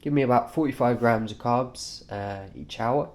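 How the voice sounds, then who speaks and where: A man with a nasal voice, congested from a cold, talking through his cycling kit informally and haltingly, in short offhand phrases. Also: mid-range voice, a little mellow. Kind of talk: informal vlog, kit walkthrough.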